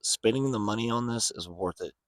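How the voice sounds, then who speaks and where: A man's voice: on the low side, a little fast. A man speaking casually and plainly to viewers as he hosts a hobby video walkthrough.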